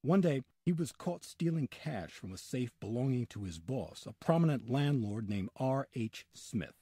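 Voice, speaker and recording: A man's voice: mid-range voice, animated, moderate pace, dark tone, husky, no echo. A man narrating scripted history in an old-time radio storytelling style, with dramatic emphasis.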